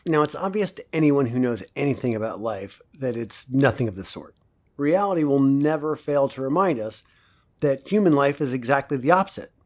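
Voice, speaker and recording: A man performs a cynical professor from a dystopian audio drama. He lectures in a medium-pitched voice, measured and didactic, pausing for rhetorical effect. His manner is coolly persuasive. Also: darkish tone, lightly acted.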